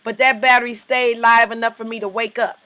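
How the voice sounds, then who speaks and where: A woman co-host in casual morning chat, talking in a lively, emphatic, playful way. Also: on the high side, brisk.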